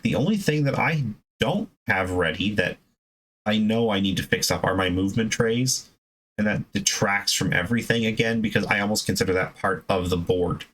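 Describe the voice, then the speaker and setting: Low-voiced man chatting casually about his hobby. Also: a bit bright, rough recording. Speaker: hobby video host. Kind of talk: casual chat, unscripted hobby advice.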